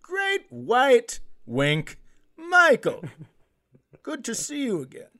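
Man putting on a high, slow elderly grandmother. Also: huge pitch swings, a bit bright, full character voice. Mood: kindly.

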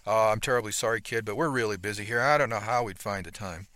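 A man's voice: on the low side, fast, sharp tone, no echo. A man voicing a blustering middle-aged male operations manager who is brusque, condescending and prone to stammering, in a story. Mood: brusque, busy.

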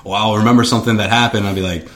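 A man's voice: lowish voice, fairly even intonation, racing. A young man, a podcast co-host, talking earnestly about his school years in casual conversation, often repeating words as he starts a thought. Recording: roomy echo.

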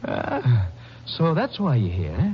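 A brutal little convict, sneers and taunts in slangy, cackling speech. His voice sits fairly high and leaps about with bitter mockery. The old broadcast sound is dull, with faint noise. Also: huge pitch swings, normal speed. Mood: gleeful mockery. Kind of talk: 1950s radio drama acting. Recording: muffled vintage radio recording, faint noise.

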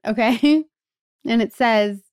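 High-voiced American woman telling casual stories. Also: takes it slowly, smooth, no echo, clean studio sound. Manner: animated storyteller, peppers talk with "like" and quoted dialogue. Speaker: podcast co-host. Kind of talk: casual anecdotal chat.

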